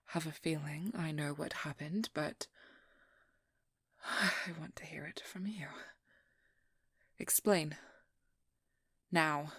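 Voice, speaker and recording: A woman playing a determined, exasperated vampire hunter with a guarded caring side, speaking softly and often in whispers in an intimate ASMR audio roleplay. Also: deep voice, no echo, studio quality, heavily acted. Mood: stern. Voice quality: soft, breathy, often whispered.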